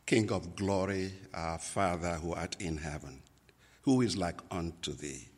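A man from Nigeria, a native Igbo speaker with a Nigerian accent, preaching a sermon as a pastor, slow and deliberate with many pauses and fillers. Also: low-pitched, darkish tone, husky, big-room sound.

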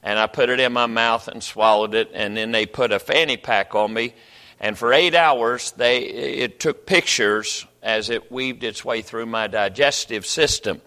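A man's voice: medium pitch, unhurried, bright, forceful tone, long reverb tail. An older man, a pastor telling stories from the pulpit in a folksy, rambling way with many restarts. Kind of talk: sermon. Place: church sanctuary.

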